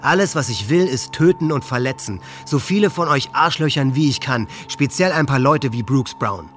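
An actor playing a hateful, volatile teenage high-school boy with a contemptuous manner, in a radio documentary drama. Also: lively intonation, moderate pace, fairly bright tone, lightly acted. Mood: cold, menacing. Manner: ranting, rhetorical, contemptuous. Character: hateful, volatile teenage male high-school shooter reading his writings. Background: music.

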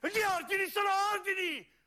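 A man's voice: very high voice, normal speed, pushed and bright, voice pushed up, exaggerated voice. A man playing a furious police officer who barks urgent commands in a radio drama. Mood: barking, urgent. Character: furious, shouting officers ordering a riot charge.

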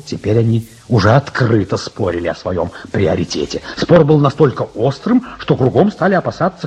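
A male actor narrating a satirical adventure radio play as a wry, dramatic storyteller with emphatic, measured pauses. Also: medium pitch, darkish tone, breathy edge. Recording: radio recording with faint hiss.

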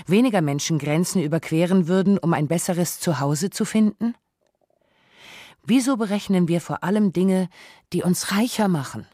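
Mellow, close-up documentary narrator. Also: medium pitch, no room sound. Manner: measured, essayistic, rhetorical questions.

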